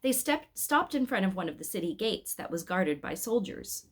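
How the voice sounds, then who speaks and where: A woman's voice: mid-range voice, big pitch swings, normal speed. A woman reading a story aloud as a scripted narration. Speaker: reader of an Advent story.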